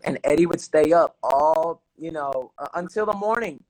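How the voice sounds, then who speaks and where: A man's voice: on the high side, moderate pace, a little mellow, poor audio. A man from New Jersey with a Dominican-influenced Jersey accent, talking casually as an interview guest, repeating himself for emphasis and teasing playfully. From New Jersey, USA.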